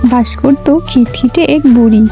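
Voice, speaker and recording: Female storyteller, high-pitched, scripted children's tale narration over music. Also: moderate pace, dark tone, muffled recording.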